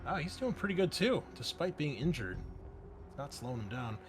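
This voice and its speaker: A man's voice: fairly high pitch, very animated, fairly quick. A man running a tabletop roleplaying game as game master, mixing improvised narration with conversational explanations to his players. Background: music under the voice.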